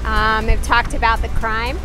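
Woman doing a casual sailing vlog, voice a bit on the high side, music in the background. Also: level tone, measured pace, low-quality recording. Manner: informal, conversational. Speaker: sailing vlogger. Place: aboard a sailing catamaran at sea.

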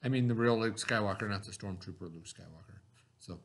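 A man in his forties, a teacher, giving slow step-by-step craft instructions to children from a classroom webcam. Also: on the low side. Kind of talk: remote classroom craft lesson. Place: classroom, indoors. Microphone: webcam at desk.

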